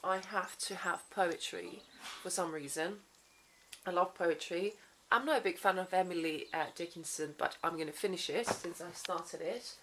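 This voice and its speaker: Woman in her thirties, Swedish as first language, relaxed and musing day-in-the-life vlogger, low-pitched, faint noise behind. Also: normal speed. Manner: chatty, reflective, many ums and restarts. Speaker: vlogger, human rights lawyer. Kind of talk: casual day-in-the-life vlog. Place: small living room at home, indoors. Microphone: phone or camera at arm's length, then camera on the floor.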